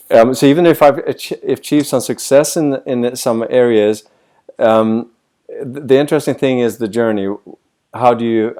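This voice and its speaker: A man's voice: mid-range voice, a little mellow. A middle-aged man from Sweden, a voice coach answering interview questions thoughtfully over a video call and posing many rhetorical questions.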